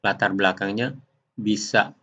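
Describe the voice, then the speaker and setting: A man gives an unscripted software demonstration, explaining step by step in a halting way. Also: on the low side, fairly even intonation, lo-fi sound. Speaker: software tutorial presenter. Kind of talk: unscripted screen demonstration narration.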